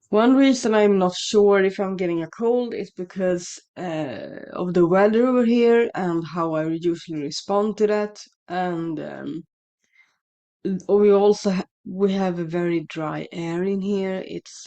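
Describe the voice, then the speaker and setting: A woman's voice: fairly low pitch, gravelly, mellow, dull audio. A woman with a Swedish accent, native Swedish speaker, chatting casually at home in a morning vlog, rambling and correcting herself, slightly sniffly as if coming down with a cold. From Sweden. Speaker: shamanism vlogger. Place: home indoors, dry room. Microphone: headphones with mic.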